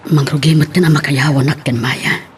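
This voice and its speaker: A man's voice: fairly high pitch, fairly even intonation, brisk, a little hiss or hum, lightly acted. A man acting a troubled, resentful young husband with an Ilocano Filipino accent in an audio drama. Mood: confiding, confused.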